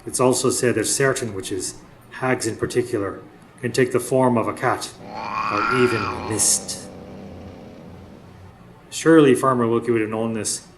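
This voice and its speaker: A man's voice: on the low side, a little slow, long pauses. A man acting in a radio drama as a seasoned older workmate who tells spooky folk tales with folksy drama. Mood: ominous. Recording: clearly noisy live stage recording.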